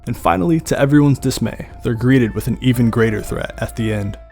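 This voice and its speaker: A man's voice: mid-range voice, a little fast, darkish tone. A man giving horror video commentary in a storytelling way, with casual asides. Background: background music.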